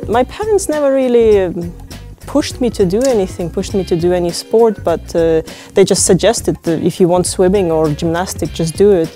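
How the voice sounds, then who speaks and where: Woman, lowish mellow voice, easygoing interview talk w lots of uhs, soft music under. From Ukraine. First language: Ukrainian. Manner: unhurried, with many fillers and restarts. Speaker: interviewee, open-water swimmer.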